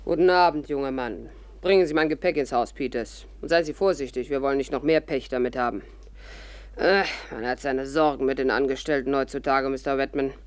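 A woman's voice: a bass voice, brisk, dry recording. An actress voicing a haughty, bossy old theatrical lady who scolds and declaims in a 1950s radio drama. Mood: imperious, scolding. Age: older.

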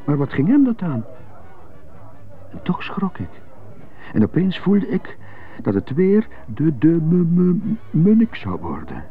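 Brooding prisoner, narrow phone-like sound. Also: male voice, a little high, wildly swooping pitch, slow, long pauses, heavily acted. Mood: uneasy. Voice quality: stutter on some words. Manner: muttering, self-questioning monologue in short bursts. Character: jazz-loving stuttering prisoner, brooding and indignant. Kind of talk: radio drama monologue. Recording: muffled narrow phone-like sound, music behind.

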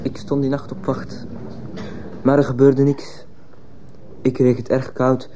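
A man's voice: medium pitch, monotone, slow, mellow, lightly acted. A man playing a guarded teenage schoolboy on trial, answering tersely in a radio drama. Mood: sullen, guarded. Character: guarded, sullen teenage schoolboy accused of murder. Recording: muffled, hissy old radio play recording.

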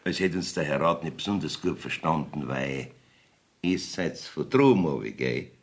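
A man's voice: extremely low pitch, expressive, a little slow, heavily acted. An actor playing a wily, evasive village judge in a Bavarian dialect radio play, blustering and wheedling as he rambles. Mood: wheedling, veiled menace.

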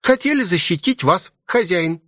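A man puts on a devoted young maid, polite and protective. The voice is pitched well up and very lively, in a dry audiobook recording. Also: moderate pace, dry recording. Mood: concerned. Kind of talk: audiobook character voice.

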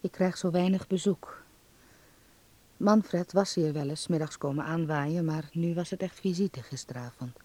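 An actor playing a young acting student calmly voicing a letter to his mother in a radio drama, measured and confiding. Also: a little high, no room sound.

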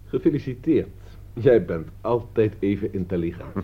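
A man's voice: on the low side, expressive, normal speed, slightly rough. A man acting in a Dutch radio play as a smug, teasing nephew with a breezy, self-satisfied manner. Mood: pleased, teasing. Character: smug, teasing nephew who staged the hoax. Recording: dull lo-fi radio play recording.

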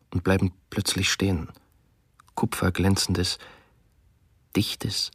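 A man with a husky, gravelly voice reading a grave radio drama text slowly and solemnly. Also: deep voice, no echo, clean studio sound. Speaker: reader in a radio documentary drama.

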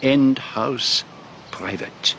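An actor playing a shrewd, middle-aged Belgian detective with a French-speaking Belgian accent, formal, precise and theatrical, in a radio drama. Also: male voice, medium pitch, very slow, fairly bright tone, a little hiss or hum. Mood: brisk, conspiratorial.

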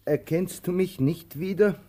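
Slow, brooding male tramp. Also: high voice, warm tone. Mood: urgent questioning. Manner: halting, brooding, broken pauses and repetitions. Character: weary, pensive male tramp, anxious, waiting endlessly. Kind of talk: German radio play acting.

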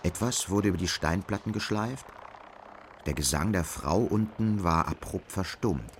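A man's voice: low-pitched, expressive, unhurried, a little hiss or hum. A man narrating a radio drama as a scripted literary reading.

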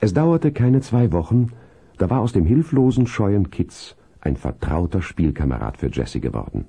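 An actor narrating a children's radio play as a storyteller, in scripted, measured narration. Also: male voice, lowish voice, sing-song, gravelly, warm tone, slightly rough, full character voice. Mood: measured storytelling. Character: calm male narrator of a children's horse story. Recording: 1970s vinyl radio-play recording.

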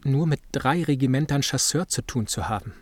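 An actor playing a weary 19th-century Prussian soldier giving a sober, old-fashioned account of a defeat in a radio play. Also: male voice, mid-range voice, brisk, no room sound, studio quality, natural voice. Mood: weary, rueful. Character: weary Prussian soldier recounting a lost battle. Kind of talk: dramatized war report in a radio play.

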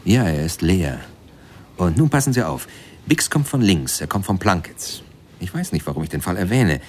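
An actor playing the narrator of a radio ballad, addressing listeners directly in short, deliberate sentences. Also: male voice, deep voice, very animated, a little hiss or hum. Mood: probing, insistent. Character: storytelling male narrator of a jazz musician's sad life.

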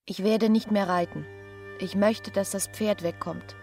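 An actress playing a melancholy, fervent young wife in a radio drama, with a solemn, yearning manner. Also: female voice, mid-range voice, steady tone, unhurried, a little mellow, soft background music, close to an everyday voice. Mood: weary.

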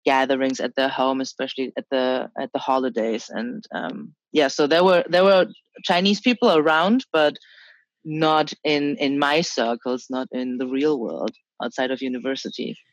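A woman's voice: a bass voice, steady tone, normal speed, dry recording. A young woman from Germany talking about her identity as an interview guest, hesitant with many restarts and fillers. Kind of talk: casual interview talk.

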